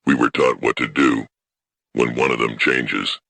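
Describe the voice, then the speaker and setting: An ancient alien matriarch voiced by a man, solemn and deliberate. The voice is extremely deep and full of gravel, with a hard, bright edge. It moves slowly and stays on a narrow line of pitch. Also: steady tone, no echo, full character voice. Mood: solemn, reverent. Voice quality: very low, gravelly. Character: ancient solemn female alien elder, keeper of records. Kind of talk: scripted audiobook character voice.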